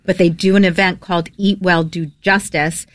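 A woman co-hosting a radio food show, chatting in a bubbly, excitable way from the studio. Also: fairly low pitch, normal speed, dry recording. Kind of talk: casual radio chat.

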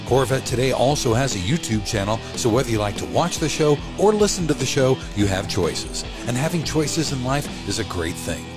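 A man reading a scripted promo for his own podcast as a friendly sales pitch. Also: sing-song, normal speed, few pauses, over music. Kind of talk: scripted ad read.

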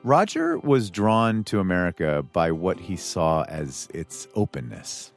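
A man interviewing a guest, reading a scripted introduction and then asking measured, halting questions. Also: low voice.

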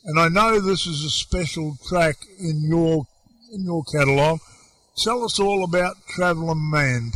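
A man hosting a casual radio interview, chatty and folksy, halting a little as he frames his questions. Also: fairly high pitch, fairly bright tone.

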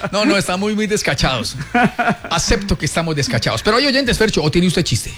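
A man's voice: sits high, sing-song, fairly quick, a bit bright. A Colombian man hosting a morning radio show with casual, playful banter, sometimes putting on an Argentine accent.

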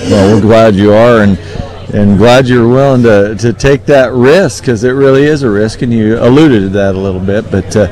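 A man hosting a casual live broadcast interview, speaking in a folksy, halting, conversational way. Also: on the low side, darkish tone, poor audio. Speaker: radio show host and interviewer.

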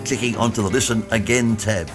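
An Australian man hosting an oldies radio show, chatty and a little croaky from a chesty cough. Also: lowish voice, steady tone, fairly quick, over music. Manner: chatty, rambling with restarts. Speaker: radio host. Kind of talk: casual radio presenting.

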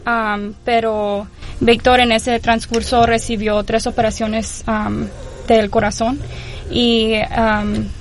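A woman's voice: fairly high pitch, level tone, slow, a little hiss or hum. A young woman from Houston telling her story as an interview guest, hesitant and full of filler sounds. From Houston, Texas. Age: young adult. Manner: hesitant, many filler sounds, mixes English terms into Spanish. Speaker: guest, mother of a patient. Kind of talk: personal account in an interview.

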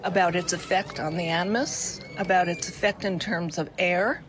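A woman's voice: fairly low pitch, fairly even intonation, moderate pace, background noise. A woman resident giving firm, skeptical public comment at a public meeting. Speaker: local resident. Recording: distant meeting recording with ambience.

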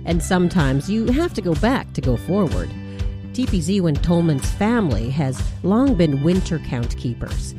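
A woman hosting a radio show, narrating a scripted feature in a measured, deliberate way. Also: on the low side, sing-song, music in the background.

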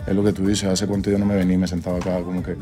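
A young Colombian man in his twenties speaks in a fairly low voice, loose and slangy, circling back on his words as he answers. Soft music runs faintly underneath. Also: completely flat delivery, rapid-fire. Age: 20s. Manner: slangy, rambling, full of fillers and restarts. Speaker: singer being interviewed. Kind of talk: casual interview conversation.

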